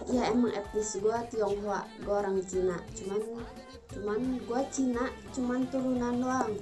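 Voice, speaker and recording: A woman's voice: a little high, fairly even intonation, unhurried. Young Sundanese-accented woman chatting casually. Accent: Sundanese Indonesian. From Bandung, Indonesia. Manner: chatty, emphatic, slangy. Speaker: vlogger. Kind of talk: casual talk to camera. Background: music.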